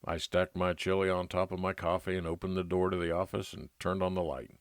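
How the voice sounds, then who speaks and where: Noir radio lead: wry, weary male detective, played by a man, low voice, dry close sound. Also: fairly even intonation, fairly quick, smooth, dry recording. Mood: reflective, wry. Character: world-weary, wry male private investigator narrating his case. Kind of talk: old-time radio noir narration and dialogue.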